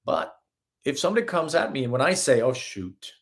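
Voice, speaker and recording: A man's voice: medium pitch, a little fast. A man with a Swedish accent speaks to his listeners in an earnest, conversational way. His mid-range voice rises and falls a lot as he talks, and he keeps acting out short everyday scenes to make his points. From Sweden. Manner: earnest, animated, acts out little scenes in quotes. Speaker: spiritual healer and host. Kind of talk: live-streamed spiritual talk.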